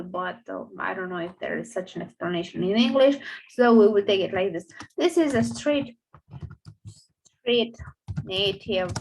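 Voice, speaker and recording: A woman's voice: on the high side, low-quality recording. A woman with a Romanian accent, a native Romanian speaker, teaching a grammar lesson in English, explaining step by step and thinking aloud with fillers. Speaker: language teacher.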